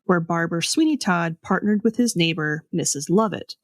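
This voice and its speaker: A woman's voice: on the low side, expressive, moderate pace, no room sound. Woman storyteller, close dry sound. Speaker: podcast host. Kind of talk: scripted storytelling with casual asides.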